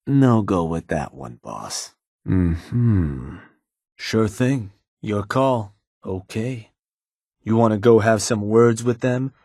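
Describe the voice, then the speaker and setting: A scheming raider advisor from a video game, middle-aged and unhurried. His voice sits low and a little husky, and it comes across dry and close. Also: a little mellow, dry recording. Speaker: advisor to the player's raider gang.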